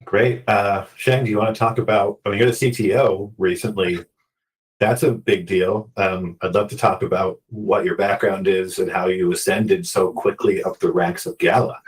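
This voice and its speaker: A man's voice: deep voice, fairly even intonation, normal speed, far from the mic, poor audio. A man hosting a casual chat, joking and upbeat as he asks questions. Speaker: host.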